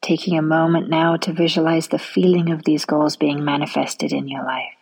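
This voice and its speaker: A woman leading a guided relaxation meditation in a soft, soothing manner, often trailing off into a near-whisper. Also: deep voice, flat delivery, normal speed, creaky, slightly rough.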